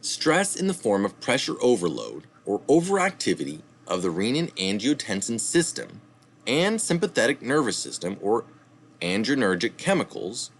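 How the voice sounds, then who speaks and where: A man reading a health article aloud, pausing to add citation asides and correct his own slips. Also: mid-range voice, big pitch swings, slight background noise. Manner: reads steadily, adding asides and correcting slips.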